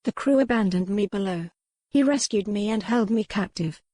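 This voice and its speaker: A woman's voice: mid-range voice, moderate pace, mellow, no room sound, clean studio sound, close to an everyday voice. A woman voicing an earnest, pleading young noblewoman in a historical romance audiobook, speaking in formal period phrases. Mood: confessing.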